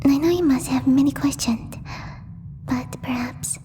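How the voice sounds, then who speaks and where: A woman voicing a gentle, timid alien girl in a soft, breathy ASMR roleplay, speaking formally and haltingly as she searches for words. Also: high voice. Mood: nervous, hesitant. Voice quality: breathy, whispery. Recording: long reverb, faint background noise.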